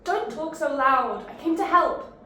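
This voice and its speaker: Woman as a defiant young sniper, high voice. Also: expressive, measured pace, sharp tone, very echoey, a little hiss or hum, exaggerated voice. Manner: defiant, snappy.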